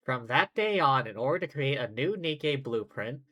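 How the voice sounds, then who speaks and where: A man's voice: medium pitch, expressive, normal speed, bright, forceful tone, soft background music. A man live streaming a game, commenting casually and reading narration aloud in a wry, offhand way.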